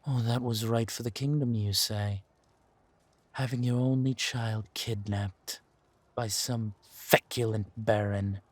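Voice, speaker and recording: A man playing a ruthless, mocking warrior villain in a fantasy audio drama, with a husky voice and a deliberate, taunting delivery. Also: lowish voice, fairly even intonation, no room sound. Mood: contemptuous.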